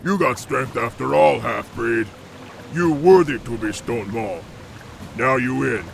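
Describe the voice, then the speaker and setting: An actor playing a brutish, arrogant ogre clan chief in a video game, with blunt, taunting broken grammar. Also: male voice, medium pitch, measured pace, vocal fry, a little hiss or hum. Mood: gruff, testing. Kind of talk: video game cutscene acting.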